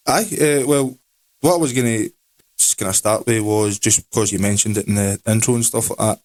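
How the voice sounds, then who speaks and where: A Scottish man in his late twenties co-hosting a podcast in a relaxed, rambling chat. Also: lowish voice, moderate pace. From Scotland. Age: 20s. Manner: relaxed, rambling, with Scottish words like aye and wee. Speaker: podcast co-host. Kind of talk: casual chat.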